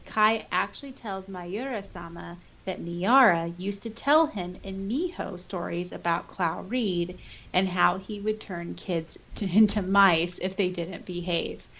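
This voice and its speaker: A woman's voice: fairly low pitch, vocal fry, a bit bright, rough recording. A woman speaks as a podcast co-host in a casual, conversational way. She reads comments aloud and chats about them, with a light, giggly manner. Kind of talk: casual chat, reading out listener comments.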